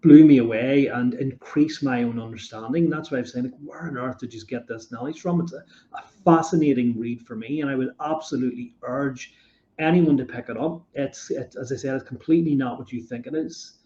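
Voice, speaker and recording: A man's voice: mid-range voice, fairly quick, dark tone. A man hosting a podcast interview, talking with enthusiasm and rambling through his questions with many fillers. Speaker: podcast host. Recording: dull roomy sound.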